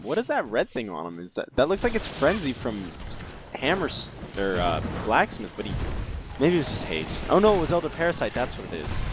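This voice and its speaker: A man's voice: mid-range voice, noisy background. A man giving live play-by-play commentary on a video game match, joking and teasing his co-caster. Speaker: esports caster.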